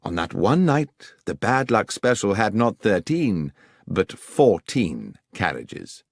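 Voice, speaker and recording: Man voicing an incisive, animated English detective. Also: lowish voice, slow pace, vocal fry, slightly rough, dry recording, clean studio sound, full character voice. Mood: explaining, confident. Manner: precise, authoritative, methodical questioning. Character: brisk, incisive male Victorian detective, coolly confident. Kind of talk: audiobook character dialogue.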